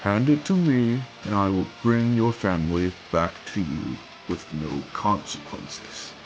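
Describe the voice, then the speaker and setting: Guy doing a ruthless comic book conqueror, low gravelly voice, arrogant threats, music behind him. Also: expressive, unhurried, muffled recording. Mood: taunting. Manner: menacing, grandiose threats. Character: ruthless, arrogant male conqueror villain bent on empire. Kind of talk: amateur dramatic reading of comic fan fiction. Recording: music under the voice.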